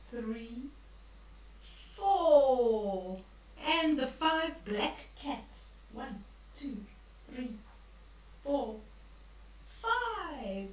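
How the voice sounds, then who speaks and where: An older woman reading a bedtime story aloud to young children, expressively, with drawn-out emphasis and questions for the listeners. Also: high voice, a bit bright. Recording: echoey room, distant from the mic.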